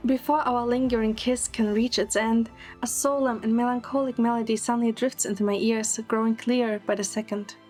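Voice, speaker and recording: A woman's voice: fairly high pitch, fairly even intonation, a little fast, hardly any pauses, darkish tone, soft background music. A woman narrating a scripted first-person romantic story in an audio drama.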